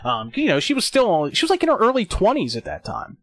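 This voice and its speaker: Excitable thirties man, drifts off topic, swears a lot; dry, close mic. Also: high voice, fast, no echo. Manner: rambling, excitable, swears freely. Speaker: podcast host. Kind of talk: casual chat.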